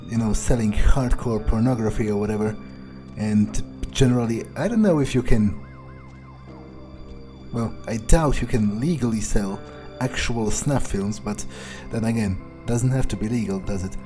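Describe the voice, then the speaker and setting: A man's voice: on the low side, normal speed, warm tone, natural voice. A man voicing a cynical, self-serving vampire newcomer in an in-character game playthrough, musing aloud in a dryly sardonic way. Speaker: gameplay video creator. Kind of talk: in-character game roleplay narration. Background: music.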